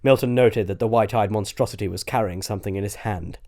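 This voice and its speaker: A man's voice: on the low side, fast talker, a little mellow. A man narrating a scripted adventure story in a dramatic audiobook style.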